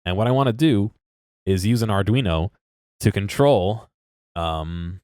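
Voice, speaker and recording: Congested streamer, deep voice, rambling chat, dry close mic. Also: male voice, a little slow, dark tone, dry recording. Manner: rambling, self-interrupting, laughs at himself. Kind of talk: casual live-stream chat.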